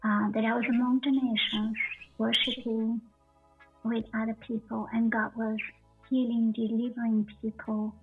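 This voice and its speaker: Halting, gravelly high-voiced woman, muffled line. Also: flat delivery. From Bulgaria. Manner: halting, hesitant, repeats words. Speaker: guest sharing her faith testimony. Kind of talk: personal testimony in an interview. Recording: muffled distant lo-fi call, faint music.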